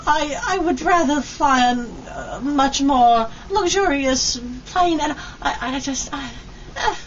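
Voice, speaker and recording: A woman playing a fussy, snobbish duchess in a comic radio drama, haughty and halting as she speaks. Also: high-pitched. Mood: haughty, displeased. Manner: haughty, halting, prim complaints. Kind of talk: comic old-time radio acting. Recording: muffled, distant, hissy amateur recording.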